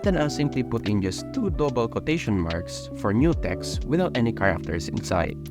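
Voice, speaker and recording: A young man with a Filipino accent hosting a software tutorial, explaining each step in a steady, methodical way. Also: medium pitch, normal speed, over music. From Philippines. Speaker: tutorial host. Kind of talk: screen-recorded software tutorial.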